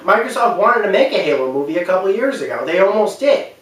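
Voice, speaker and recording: A man's voice: high-pitched, very animated, quick pace, a bit bright. Echoey, distant man talking emphatically. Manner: emphatic, repeats phrases for stress. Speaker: video commentator. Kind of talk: unscripted opinion talk about film and games. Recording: very echoey room, distant mic.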